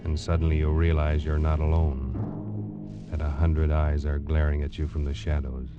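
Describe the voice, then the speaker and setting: A man's voice: very deep voice, flat delivery, normal speed. A man narrating an old 1940s radio teaser in an ominous, menacing, dramatic style, addressing the listener directly. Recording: muffled vintage radio with loud music. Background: loud dramatic orchestral music with timpani.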